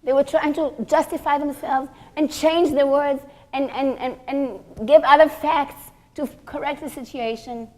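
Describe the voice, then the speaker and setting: High-voiced woman giving a religious talk. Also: steady tone, warm tone. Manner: storytelling, deliberate, repeats phrases for emphasis. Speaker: religious teacher giving a class for women. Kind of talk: sermon-like lecture told through stories.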